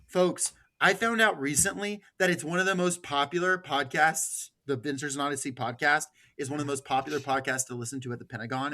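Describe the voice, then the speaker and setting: Bright-voiced irreverent man hosting a podcast. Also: a little high. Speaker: podcast host. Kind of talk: casual podcast chat.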